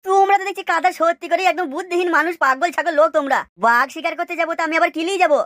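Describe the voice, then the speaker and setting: A woman's voice: very high voice, very fast, fairly bright tone, no echo, studio quality. A woman voicing a boastful, touchy young landlord's son in a comic cartoon, pompous and scolding. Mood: indignant, ranting. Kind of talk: exaggerated comic cartoon dialogue.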